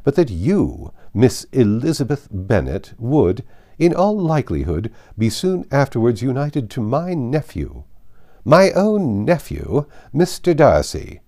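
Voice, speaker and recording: A man voicing a haughty, domineering older aristocratic lady in an audiobook, with emphatic and deliberate delivery. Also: mid-range voice, very animated, darkish tone, voice pushed up. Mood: stern indignation. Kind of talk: audiobook character voice.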